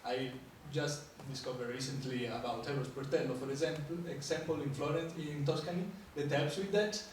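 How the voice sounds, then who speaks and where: A man's voice: a little high, fairly even intonation, fairly quick, a little hiss or hum. A man from Italy, a panel guest speaking hesitantly and searching for words. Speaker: entrepreneur guest. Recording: echoey hall, voice from a distance.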